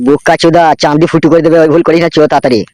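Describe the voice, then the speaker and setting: A bullying cartoon henchman played by a man rattles off coarse warnings at great speed. His voice is slightly high and dryly recorded. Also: fairly even intonation, very fast, a little mellow, dry recording, lightly acted. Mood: stern warning. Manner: foul-mouthed, warning, coarse. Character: gruff-talking, bullying male henchman of a local politician. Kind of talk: comic cartoon dub.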